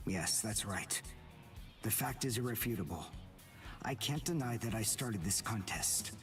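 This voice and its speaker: A man's voice: lowish voice, expressive, measured pace, distant mic. A man playing a cool, proud teenage warrior in an English anime game dub, with a formal, precise, haughty manner. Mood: cool, resolute. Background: loud game music.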